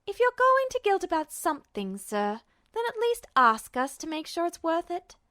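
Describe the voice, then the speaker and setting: A composed woman lieutenant in a fan-fiction audiobook, speaking steadily and surely with a gentle teasing edge, as a woman reader puts her on. Her voice sits high and bright. The recording is dry and close. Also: normal speed, dry recording, heavily acted. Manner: steady, sure, softly teasing. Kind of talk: podfic reading of fan fiction.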